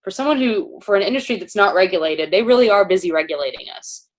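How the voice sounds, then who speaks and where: A woman's voice: mid-range voice, lively intonation, fast, poor audio. A woman casually presenting products to a panel, informal and chatty with many fillers.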